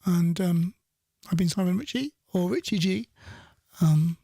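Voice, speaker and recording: A man's voice: high voice, measured pace, vocal fry, warm tone, no echo, clean studio sound. A man presenting a radio show casually, chatty and rambling, often correcting himself mid-sentence. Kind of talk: casual radio presenting between soul songs.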